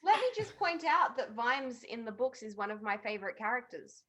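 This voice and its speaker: A woman's voice: fairly high pitch, sing-song, a little fast, a bit bright. A woman on a podcast panel giving blunt, emphatic opinions in casual conversation.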